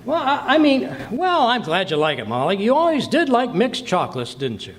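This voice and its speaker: A man acting a folksy, boastful, easily flustered middle-aged husband in an old-time radio comedy, stammering and excitable. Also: sits high, moderate pace, heavily acted.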